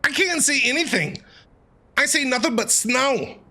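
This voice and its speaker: A man giving casual, reactive gameplay commentary as a streamer, excitable and often repeating himself. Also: extremely high pitch, unhurried. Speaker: game streamer.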